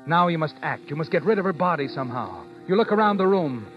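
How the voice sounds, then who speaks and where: A man's voice: on the high side, animated, fast talker, muffled recording, natural voice. A man playing an ominous, insinuating narrator of old radio suspense, addressing the guilty listener directly with pointed questions. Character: ominous male narrator needling the guilty woman. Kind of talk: second-person 1940s radio suspense narration. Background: dramatic music.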